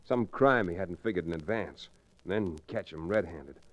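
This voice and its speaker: A man's voice: low voice, normal speed. A man playing a cool, wry frontier hero with a folksy drawl in an old-time Western radio drama. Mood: serious, calm. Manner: calm, dryly taunting, folksy.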